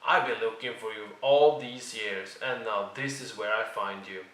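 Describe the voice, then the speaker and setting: A man's voice: fairly low pitch, unhurried, a bit bright. A man voicing a stern, determined village huntsman in a storybook, with a folksy, accusing manner. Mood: stern triumph. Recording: roomy, distant microphone.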